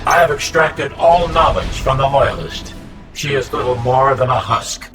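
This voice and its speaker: An actor playing a cold, cruel, arrogant enemy commander in a video game, menacing and boastful. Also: male voice, mid-range voice, expressive, measured pace, natural voice. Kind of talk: video game villain acting. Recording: echoey, distant, over loud music.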